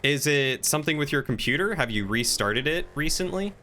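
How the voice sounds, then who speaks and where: A man talking casually on a gaming livestream, chatty and playful, thinking out loud as he goes. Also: fairly high pitch, animated, fairly quick, slight background noise.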